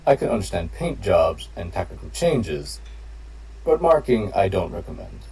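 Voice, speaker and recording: A man voicing a loyal giant combat robot AI in an audio roleplay, formal, measured and protective of a human partner, with a gravelly voice. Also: low-pitched, big pitch swings, warm tone, distant mic, full character voice, poor audio. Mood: measured, mildly reproachful. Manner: formal, measured, literal-minded. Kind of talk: scripted audio roleplay addressed to the listener.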